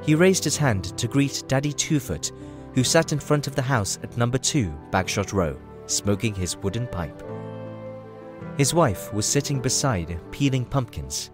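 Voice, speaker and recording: A man's voice: medium pitch, expressive, a little slow. A man reading scripted storybook narration for an audio drama. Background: music.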